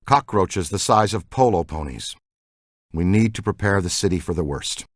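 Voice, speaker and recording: An actor playing an excitable, geeky male scientist who investigates the paranormal in a video game, earnest and full of technical jargon. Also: deep voice, normal speed, dry recording, studio quality. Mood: calm, serious. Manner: earnest, technical jargon, swings into boyish enthusiasm. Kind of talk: scripted game dialogue.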